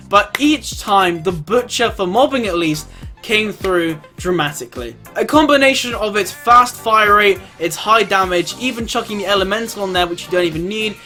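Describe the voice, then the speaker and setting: Guy with a pretty high bright voice, chatty game review for his viewers, music behind him. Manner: informal, addresses viewers as guys. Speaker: video game reviewer and host. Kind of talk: gameplay review commentary.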